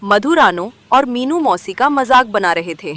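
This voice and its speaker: A woman's voice: on the high side, lively intonation, unhurried, fairly bright tone. A woman narrating a scripted children's story.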